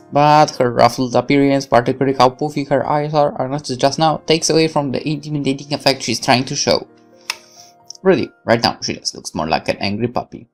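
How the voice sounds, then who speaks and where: A let's player with a creaky voice, reading narration aloud expressively and dropping in casual asides. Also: medium pitch.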